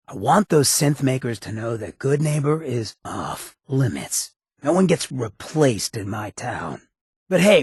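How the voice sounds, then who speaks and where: An actor playing a swaggering, roguish and menacing ghoul mayor in a video game, with a raspy, streetwise, slangy drawl. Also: male voice, medium pitch, no room sound, clean studio sound, full character voice. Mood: explaining, firm. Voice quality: very raspy, gravelly rasp. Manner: streetwise slangy drawl, cocky and smooth-talking. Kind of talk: video game character dialogue.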